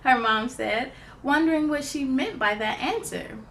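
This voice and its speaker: A woman hosting a children's storytime, reading a picture book aloud in an excited, expressive, giggly way. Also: sits high, a little slow, gravelly, slight background noise.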